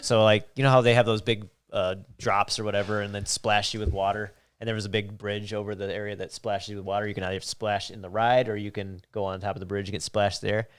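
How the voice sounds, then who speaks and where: A man's voice: fairly low pitch, little pitch movement, fast talker, hardly any pauses, clean tone. A man chatting casually as a livestream guest, with a laid-back manner and wry interjections. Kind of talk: casual chat.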